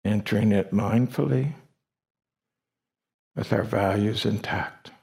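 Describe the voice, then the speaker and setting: A man with a low voice and a heavy creak guides a meditation. He speaks in short, deliberate phrases and leaves long pauses between them. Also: mellow. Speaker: meditation teacher. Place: meditation retreat hall.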